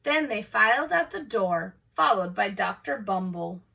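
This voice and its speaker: A woman reading a children's picture book aloud in an expressive, dramatic storytelling manner. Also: mid-range voice, measured pace, creaky, breathy edge, distant mic. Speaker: storybook reader.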